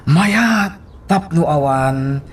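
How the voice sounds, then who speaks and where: A man's voice: fairly high pitch, animated, very slow. An actor playing a man in an Ilocano family love drama on radio, with an Ilocano accent. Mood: matter-of-fact. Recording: loud outdoor vehicle noise behind.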